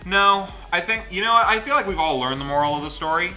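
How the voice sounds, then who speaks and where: A man's voice: sits high, expressive, quick pace, pushed and bright, poor audio. Man gaming host over game music. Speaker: gaming channel host reading a visual novel aloud. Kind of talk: casual group let's play with read-aloud narration. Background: game music behind the voice.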